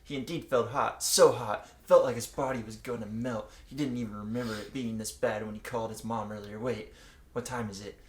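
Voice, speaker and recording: A man's voice: fairly low pitch, steady tone, a little fast, few pauses. A young man reading a story aloud casually and comically, stumbling over the words. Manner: stumbling reader. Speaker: co-reader, channel host. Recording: echoey room.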